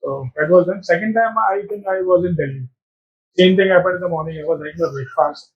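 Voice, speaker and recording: A man with a Sri Lankan accent who talks with a high voice. He speaks as a guest on a casual talk show and tells stories haltingly, full of fillers. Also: dull audio. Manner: hesitant storytelling with many fillers. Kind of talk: casual chat.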